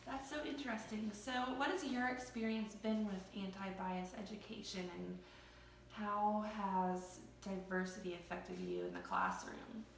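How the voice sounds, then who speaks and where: A woman interviewing a parent, encouraging and quick with affirmations, who works as an early childhood teacher. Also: medium pitch, sing-song, a little hiss or hum. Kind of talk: casual recorded interview. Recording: echoey room, distant mic.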